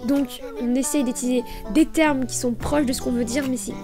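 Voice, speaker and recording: A woman's voice: sits high, fairly even intonation, fairly quick, music in the background. A woman narrating a casual voice-over for her small business vlog, stuffy with a head cold, hesitant and stammering with many fillers.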